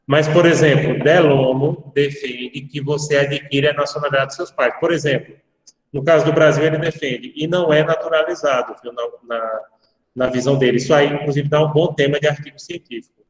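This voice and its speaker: A male professor with a Brazilian accent lecturing his class, explaining at length and often checking in with tag questions. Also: on the high side. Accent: Brazilian Portuguese. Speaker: university law professor. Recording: echoey hall-like sound, distant from mic.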